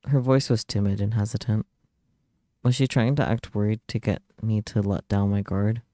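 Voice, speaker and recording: Casting: male streamer, mellow mid-range voice, dramatic read-aloud of visual novel narration, very dry close sound. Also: on the low side, fairly even intonation, moderate pace, dry recording.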